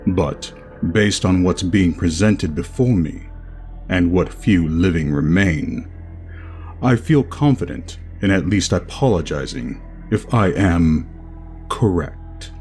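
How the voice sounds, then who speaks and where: An enigmatic masked doctor of few feelings, acted by a man for a horror audio drama. His voice is very low and he speaks very slowly, in formal, clinical phrasing. Faint music plays behind him. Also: lively intonation, a little mellow. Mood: clinical, detached. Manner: formal, measured, emotionless phrasing.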